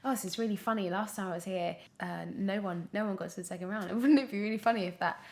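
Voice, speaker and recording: A woman's voice: medium pitch, quick pace. A young British woman telling anecdotes casually in an interview, chatty and giggly, often acting out what was said. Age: young adult. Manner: chatty, animated storytelling with self-quotes and giggles. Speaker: actor, podcast guest.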